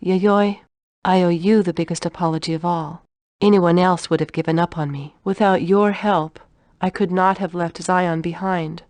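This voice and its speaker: Woman acting earnest gentle mom in a video game, lowish voice, sincere n formal, dry audio. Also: fairly even intonation, moderate pace, no echo, lightly acted. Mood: grateful, remorseful. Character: gentle, earnest, remorseful mother.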